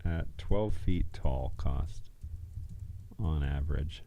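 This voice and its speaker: A man's voice: very deep voice, takes it slowly, vocal fry, mellow, a little hiss or hum. A middle-aged man hosting a livestream, chatting informally and rambling as he thinks aloud while browsing. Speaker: livestream host.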